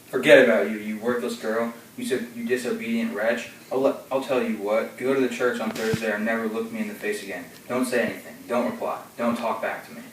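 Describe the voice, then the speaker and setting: A man's voice: lowish voice, steady tone, brisk, hardly any pauses, a little hiss or hum, natural voice. An actor playing a domineering older nobleman father who scolds and rants at his daughter. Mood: raging. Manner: scolding, ranting. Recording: echoey room from far off, faint ambience.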